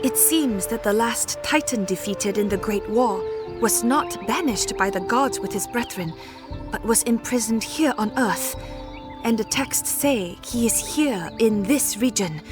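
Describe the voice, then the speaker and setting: A woman's voice: on the high side, animated, unhurried, music in the background. An actress playing a solemn female warrior-scholar of an ancient order in a video game, speaking with earnest, formal drama. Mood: grave, earnest.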